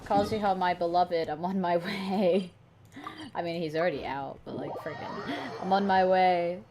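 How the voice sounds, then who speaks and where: A young woman giving excitable, mock-dramatic, gushing gameplay commentary. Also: lowish voice, normal speed. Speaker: game streamer. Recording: noisy mic with game sound. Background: game audio.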